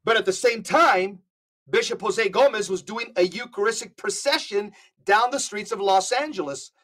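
A man's voice: high-pitched, moderate pace, fairly bright tone. Guest man, earnest and emphatic, stumbles and restarts as he presses his point. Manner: earnest, repeats and restarts phrases. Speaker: podcast guest. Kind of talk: emphatic conversational commentary. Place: California.

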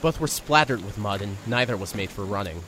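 A man's voice: on the low side, lively intonation, fairly quick, fairly bright tone, a little hiss or hum. A man narrating an audio drama in the first person and playing a nervous reporter, with an earnest, wry delivery.

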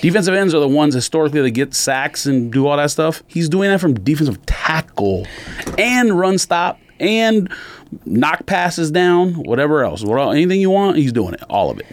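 A man's voice: on the high side, sing-song, moderate pace. A man co-hosting a sports podcast, talking casually and arguing his points in an emphatic, insistent way.

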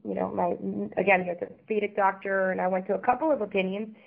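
A woman's voice: medium pitch, steady tone, fast, vocal fry. Chatty woman over a tinny phone line. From Miami, Florida. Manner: chatty, warm, frequent ums. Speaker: interview guest. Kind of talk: podcast interview.

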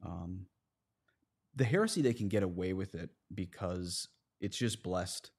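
A man's voice: deep voice, a little slow, a little mellow, breathy edge. A man, a priest, speaking as a podcast guest, earnestly explaining religious ideas in conversational, plain analogies.

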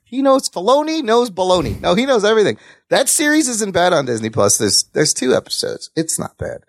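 A man's voice: sits high, sing-song, brisk, no room sound. A man hosting a casual entertainment news chat, talking like an enthusiastic, sweary fan. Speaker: podcast host.

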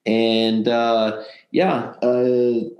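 A middle-aged man, a pastor and club chaplain, talking on a podcast in a halting way with many fillers. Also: mid-range voice, monotone, darkish tone. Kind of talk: casual podcast chat. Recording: roomy echo.

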